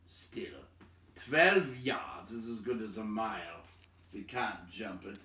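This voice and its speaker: A gruff old dwarf chief, acted out by a man reading aloud, low in voice and full of doubt. He grumbles deliberately, as if leading his band, in an echoey room of a storybook recording. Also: sharp tone, full character voice. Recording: roomy echo, distant microphone.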